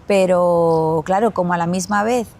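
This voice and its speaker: Measured Andalusian-accented woman. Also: lowish voice, darkish tone. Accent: Andalusian Spanish. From Spain. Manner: measured, thinks aloud with pauses and repeats. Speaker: glamping company CEO, guest. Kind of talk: conversational interview answers.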